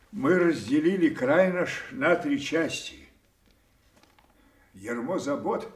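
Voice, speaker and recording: An actor playing an aged, imperious, weary king in an audio play, delivering solemn commanding verse. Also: on the high side. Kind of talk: theatrical audio play acting. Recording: echoey stage hall, distant from mic.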